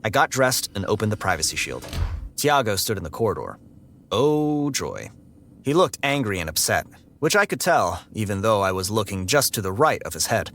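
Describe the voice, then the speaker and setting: An actor playing a guarded, socially averse security android who tells its story in a dry, sarcastic, deadpan way. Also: male voice, on the low side, moderate pace, slight background noise. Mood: sardonic, irritated. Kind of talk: first-person audiobook narration and dialogue.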